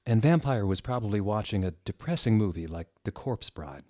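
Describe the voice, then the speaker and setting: A man's voice: fairly low pitch, expressive, a little fast, warm tone, dry recording, studio quality. A man hosting a dramatic comedic reading, theatrical and wry, with casual asides. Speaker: host reading fan fiction aloud.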